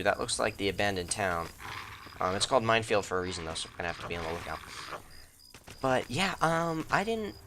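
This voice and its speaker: A man's voice: lowish voice, pushed and bright, slight background noise. A young man hosting a let's play, chatting casually and rambling with self-deprecating jokes while he plays. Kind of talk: casual gameplay commentary.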